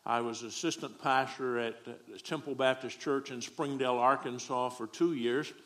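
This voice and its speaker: A man's voice: mid-range voice, steady tone, normal speed, a bit bright. Southern guy from texas preaching, middle-aged, jokey folksy way. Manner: folksy, joking. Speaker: guest preacher. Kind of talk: sermon.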